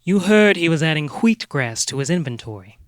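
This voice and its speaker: Man playing a self-serious amateur detective, lively pitch. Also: normal speed, dry recording, clean studio sound, close to an everyday voice. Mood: solemn, accusing. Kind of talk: comedic detective drama dialogue.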